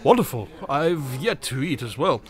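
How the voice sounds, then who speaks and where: An actor playing a confident detective with a dry, teasing manner in a radio drama. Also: male voice, medium pitch, big pitch swings, moderate pace, lightly acted. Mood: wry. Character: sly, confident male detective, dryly teasing.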